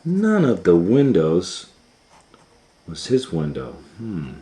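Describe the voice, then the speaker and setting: A man's voice: sits low, huge pitch swings, takes it slowly, mellow. A man reading a children's storybook aloud as a storytime host, retelling it informally with musing asides. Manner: improvised asides and musing hums.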